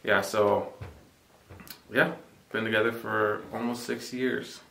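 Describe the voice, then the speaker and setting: A young man in his twenties from Mexico talks through a casual vlog Q&A, easygoing and heavy on "um"s. His fairly low voice has a bright edge. He sits back from the mic in a roomy space with a faint hiss behind him. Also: slow, vocal fry, recorded from a distance. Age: 20s. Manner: relaxed, filler-heavy, joking. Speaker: vlogger co-host. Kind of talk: casual chat.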